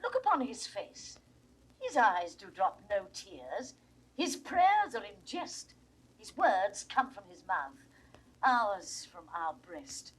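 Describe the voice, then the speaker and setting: A woman's voice: mid-range voice, huge pitch swings, takes it slowly, husky, exaggerated voice, rough recording. A woman with a British RP accent playing a desperate, aging duchess in a Shakespearean audio drama, pleading in impassioned verse. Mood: passionate. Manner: impassioned, sweeping verse delivery. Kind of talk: classical Shakespearean stage acting. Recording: vintage 1960s recording, faint noise.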